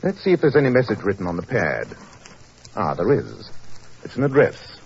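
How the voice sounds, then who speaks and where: Dull old radio recording, shrewd English detective, swooping intonation, precise, commanding, 1940s drama style. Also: male voice, lowish voice, wildly swooping pitch, normal speed, creaky, slight background noise, exaggerated voice. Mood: probing, composed.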